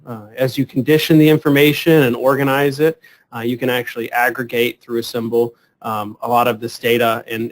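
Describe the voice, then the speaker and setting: A man hosting a webinar, introducing a product in a halting way with many fillers and repeated words. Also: medium pitch. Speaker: webinar host. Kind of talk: semi-scripted product introduction.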